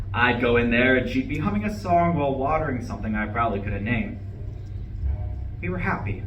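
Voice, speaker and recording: Echoey stage reading: male actor as irritable, heartbroken divorcé, forceful and highly animated. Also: muffled recording, lightly acted. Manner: faltering, sighing, swinging into bitter outbursts. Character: distraught, irritable, newly divorced man at a bus stop. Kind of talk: live stage play reading. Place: indoor room at a live reading. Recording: echoey room, distant mic, faint noise.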